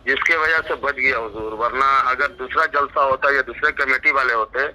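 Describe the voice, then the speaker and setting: A man's voice: mid-range voice, moderate pace, sharp tone. A man in a recorded phone conversation, talking in a deferential, placating way. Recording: phone call with slight noise.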